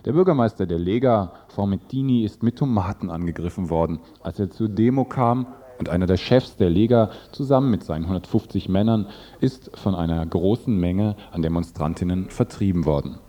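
A man's voice: low-pitched, a little fast, dark tone. A man reading scripted news and translated interview statements as a radio presenter.

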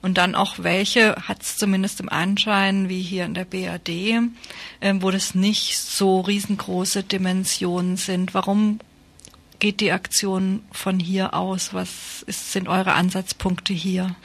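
A woman conducts an informal radio interview. Her voice sits at a medium pitch for a woman, with fairly restrained intonation. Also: level tone, slow pace, vocal fry.

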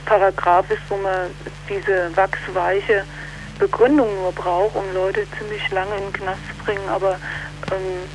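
Female activist interviewee, mid-pitched, halting and searching delivery, faintly noisy recording. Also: little pitch movement. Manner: halting, hesitant, often ending on question tags. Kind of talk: spontaneous political interview.

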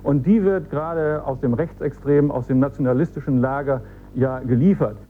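A man answering an interview as an academic expert, in an analytical manner with measured wording. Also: mid-range voice, phone-quality sound, faint music behind. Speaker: academic expert on youth violence. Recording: faint hum and noise, off-air clip.

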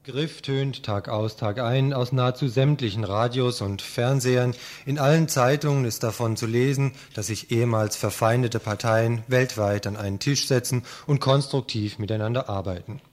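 A man reading a scripted radio news report in a steady, measured way. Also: medium pitch.

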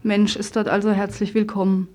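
A woman presents scripted radio news and commentary. Her voice is mellow and soft-edged, set at a middle pitch. She reads in a pointed, ironic way. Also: little pitch movement, a little fast.

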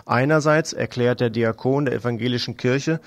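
Creaky-voiced man reading a news report. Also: medium pitch, moderate pace, dry recording. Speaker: radio news presenter.

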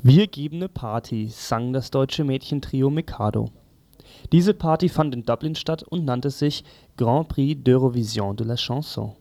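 A man's voice: medium pitch, unhurried, warm tone, no echo. A man presenting a scripted, satirical press review on the radio in a sardonic manner.

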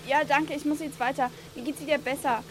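A young woman playing a cool, irritable teenage girl with a high voice. She is curt and slangy, acted for a youth radio drama, over clearly noisy sound. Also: fairly even intonation, brisk, lightly acted. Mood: irritated, dismissive. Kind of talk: amateur youth radio play acting. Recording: clear background noise.

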